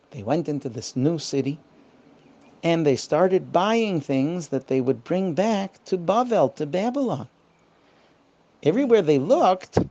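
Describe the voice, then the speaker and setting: A woman's voice: low-pitched, warm tone, muffled recording. A woman telling a children's bedtime story in simple phrases, repeating words for emphasis.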